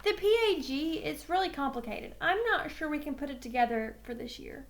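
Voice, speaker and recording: Storybook eighth-grade boy, female reader, high voice, hesitant, evasive, uneasy. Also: expressive, brisk. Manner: hesitant, picks words carefully. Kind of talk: storybook character voice.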